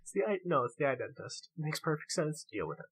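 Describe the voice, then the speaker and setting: A man's voice: fairly high pitch, very animated, quick pace, a bit bright, breathy edge. A man co-hosting a podcast in casual, joking chat, bantering with mock seriousness and swearing freely. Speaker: podcast co-host.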